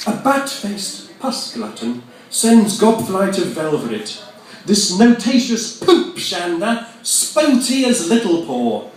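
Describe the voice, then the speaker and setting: Guy doing theatrical poetry recital, mock grand, highish voice, slow, super echoey n far from mic. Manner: declamatory, mock-grand, precisely enunciated. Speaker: poet performing live. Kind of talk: theatrical nonsense-verse recital. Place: reverberant venue at an arts festival. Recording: echoey hall, distant microphone.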